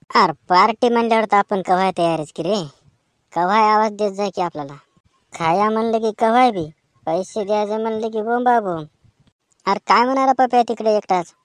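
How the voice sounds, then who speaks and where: A woman's voice: medium pitch, no room sound. A woman with a rural Maharashtra accent performing colloquial village banter in comic cartoon style. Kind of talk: rustic comic cartoon dialogue.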